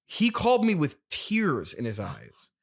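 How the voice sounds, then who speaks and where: A man's voice: on the high side, wildly swooping pitch, unhurried, gravelly, slightly rough. A man hosting an improvised comedy interview, agreeing straight-faced and echoing what his guest says. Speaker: interviewer, podcast host.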